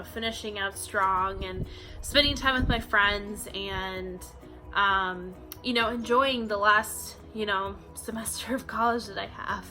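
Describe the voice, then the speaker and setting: Bright-voiced chatty young woman. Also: mid-range voice, rough recording. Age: 20s. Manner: chatty, frequent fillers like um and you know. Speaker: vlogger. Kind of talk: casual facts-about-me vlog.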